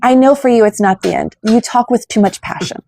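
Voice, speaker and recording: A woman's voice: on the high side, a little fast, gravelly, warm tone, a little hiss or hum. A woman in her forties hosting a political interview from home, speaking emphatically and persuasively. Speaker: podcast host.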